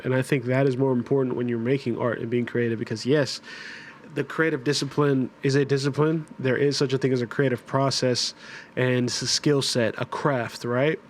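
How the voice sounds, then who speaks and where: A streamer muses out loud in a relaxed, thoughtful way, working through each idea step by step. His voice sits at a middle pitch, with a faint hiss of noise behind it. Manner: thoughtful, builds long reasoned points. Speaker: streamer reacting to a video. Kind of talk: casual reflective commentary.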